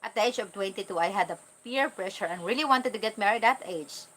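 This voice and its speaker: Filipina accent woman, 30s, casual candid livestream chat, mid pitched voice. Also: fast talker, fairly bright tone, rough recording. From Philippines. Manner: candid, informal, talking directly to viewers. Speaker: vlogger answering live chat comments.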